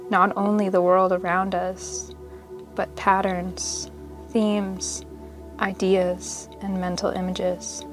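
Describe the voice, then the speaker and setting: A woman leading a guided meditation in a soothing, measured, unhurried manner, pausing between short phrases of instruction. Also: medium pitch. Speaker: yoga nidra meditation guide. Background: meditation music.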